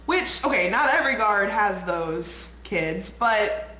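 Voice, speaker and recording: A woman's voice: mid-range voice, expressive, slow, bright, forceful tone. A young woman vlogging in a casual, rambling way, a bit congested and nasal from a cold. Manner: bubbly, rambling, lots of "like". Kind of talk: casual chatty vlog. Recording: echoey room, faint ambient noise.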